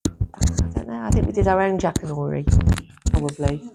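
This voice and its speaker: A woman's voice: fairly low pitch, lively intonation, brisk, vocal fry, heavy background noise. A middle-aged woman from Yorkshire, chatting as an interview guest in a rambling way, with frequent laughs and restarts. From Yorkshire, England. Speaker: interview guest, former publisher and teacher. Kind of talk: casual chat.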